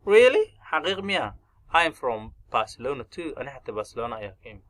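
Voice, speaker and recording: A man's voice: mid-range voice, big pitch swings, fast, fairly bright tone. A man teaching English in a language lesson, reading short dialogues aloud and translating each line. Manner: switches between English lines and Somali explanation; lifts his voice for English phrases and drops it for translations.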